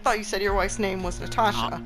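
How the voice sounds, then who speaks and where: Brief: woman voices commanding game guard, stern and distrustful, mid pitch, over clear background music. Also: steady tone, fairly quick, lightly acted. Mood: suspicious. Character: stern, suspicious male guard giving orders. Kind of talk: amateur visual novel character reading.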